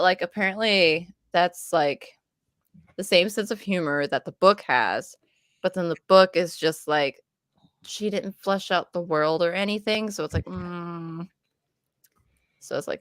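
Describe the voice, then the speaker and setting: Young woman rambling casually, like like like, kinda low voice, very dry recording. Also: a bit bright, no echo. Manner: rambling, filler-heavy, says "like" constantly. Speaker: co-host. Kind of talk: casual chat between friends.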